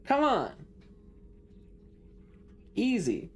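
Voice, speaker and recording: A woman's voice: fairly high pitch, wildly swooping pitch, extremely slow. A woman streamer giving casual live game commentary, with teasing, joking asides.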